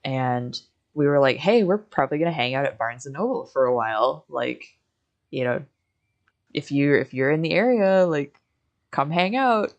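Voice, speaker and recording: Young American streamer, mid-pitched voice, casual and rambling, doubles back on phrases mid-story. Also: expressive, normal speed, lo-fi sound. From Michigan, United States. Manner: rambling, backtracking with many repeated phrases. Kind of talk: casual chat on a livestream.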